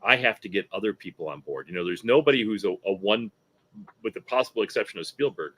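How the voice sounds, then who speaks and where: A man's voice: lowish voice, lively intonation, fast talker, a bit bright, breathy edge, rough recording. A man speaking casually as an industry panelist, emphatic and repeating himself to make his points. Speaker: industry panelist who reviews scripts.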